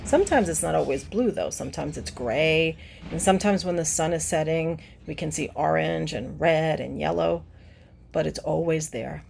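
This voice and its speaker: Woman, children's read-aloud presenter: low, gravelly voice, explaining simply and asking questions. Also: normal speed, darkish tone, a little hiss or hum. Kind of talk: picture-book read-aloud with teacherly asides to children.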